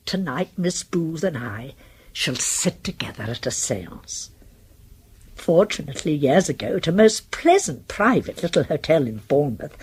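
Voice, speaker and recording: An actress playing a genteel, crafty British spinster sleuth in a radio drama, with fussy, precise and effusive diction. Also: female voice, sits low, a little mellow, breathy edge, full character voice.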